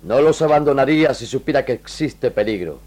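An actor playing an honorable, humane army lieutenant with earnest, measured, formal phrasing in a radio drama. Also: male voice, medium pitch, lively intonation, a little mellow, no room sound, lightly acted. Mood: reassuring.

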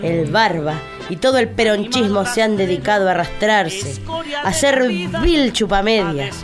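A woman's voice: mid-range voice, lively intonation, a little slow, over music, natural voice. A woman voicing a pompous, boastful opposition politician and doting mother, scornful and declamatory, with an Argentine accent. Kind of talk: political satire radio sketch.

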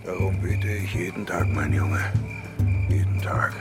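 An actor playing a world-weary former mercenary turned peasant rebel in a German radio drama, brooding and halting, confiding in a gravelly voice. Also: male voice, deep voice, over loud music. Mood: solemn.

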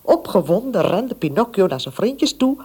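A woman with a breathy voice narrating a scripted children's story. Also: mid-range voice, sing-song, moderate pace, dark tone.

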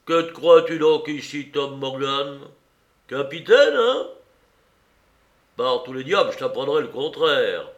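A man's voice: fairly high pitch, lively intonation, takes it slowly, muffled recording, voice pushed up. A man voicing an old one-legged pirate captain who roars threats and commands his crew. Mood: menacing.

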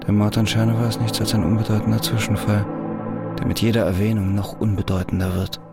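An actor playing an embittered, jealous prisoner and husband in a radio crime drama, with a gravelly, brooding delivery. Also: male voice, lowish voice, little pitch movement, fast talker, over loud music, lightly acted. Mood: bitter, scornful.

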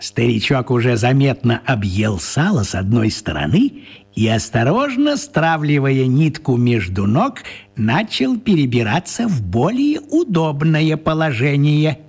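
A man narrating a children's radio play with expressive, scripted storytelling. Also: medium pitch, unhurried, slightly rough.

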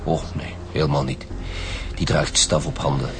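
A businesslike Flemish homicide chief, speaks in a low pitch. He is direct and polite in a radio play, with faint music behind. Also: level tone, moderate pace, gravelly. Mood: insistent. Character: steady, businesslike homicide squad leader. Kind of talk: radio drama acting.

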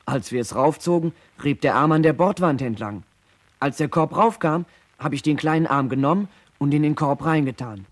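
A man's voice: on the high side, moderate pace, natural voice. An actor playing a seaman who gives a plain, factual witness account in a radio drama. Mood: subdued. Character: male seaman recalling pulling a girl's body aboard.

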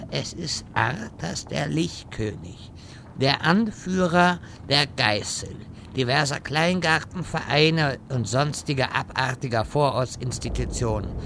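A man's voice: on the high side, vocal fry, noisy background, full character voice. A man playing an irritable, sardonic wizard who lectures his companions in a German audio drama parody. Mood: exasperated. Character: exasperated, sarcastic male wizard guiding bumbling adventurers.

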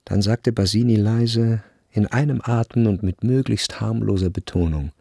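A man's voice: lowish voice, normal speed, creaky, dark tone, husky, dry recording. A man narrating a literary radio drama in a sober, scripted manner.

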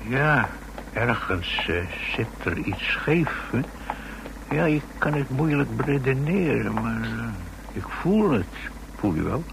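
Gravelly, folksy old-radio safecracker. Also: male voice, mid-range voice, sing-song, exaggerated voice. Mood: uneasy, musing. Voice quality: gravelly, creaky voice. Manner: halting, colloquial, full of tag questions. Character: shrewd, folksy male safecracker, hesitant but deferential. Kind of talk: radio drama acting. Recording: noisy old radio drama recording.

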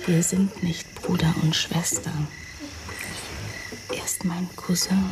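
A woman's voice: on the low side, flat delivery, takes it slowly, in a whisper. An actress playing a frank, carefree young woman in a radio drama, confiding and breezy.

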